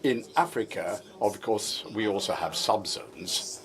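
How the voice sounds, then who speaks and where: A man interpreting a formal finance report into English, measured and formal with frequent hesitations. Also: lowish voice, lively intonation.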